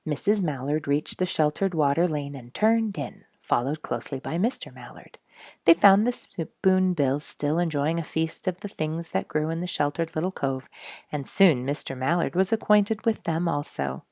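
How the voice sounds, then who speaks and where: Low-voiced, animated woman storyteller. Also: normal speed, no room sound, clean studio sound. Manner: expressive, storybook phrasing. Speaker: storyteller for children. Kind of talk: read-aloud children's story narration.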